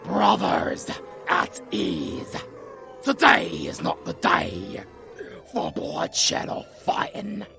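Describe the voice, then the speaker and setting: Slow, growling goblin lord. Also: male voice, on the high side, wildly swooping pitch, over music, full character voice. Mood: commanding. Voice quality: gravelly, growling, breathy. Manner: formal, measured, solemn. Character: grave old male goblin lord, proud, gravelly, peaceable leader. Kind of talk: fantasy audio roleplay acting.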